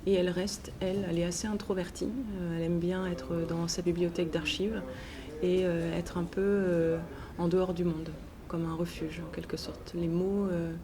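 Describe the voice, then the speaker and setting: A woman answering interview questions in a hesitant, halting way with frequent pauses. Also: fairly low pitch, little pitch movement, crowd noise. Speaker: interviewed author and teacher. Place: book festival. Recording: noisy festival ambience. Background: clear ambient noise.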